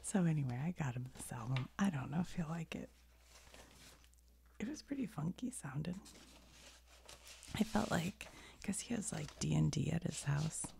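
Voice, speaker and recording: A middle-aged woman telling a rambling story in a soft, unvoiced whisper, with little asides as she goes. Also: deep voice, expressive, normal speed, gravelly, pauses often, slight background noise. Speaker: video creator.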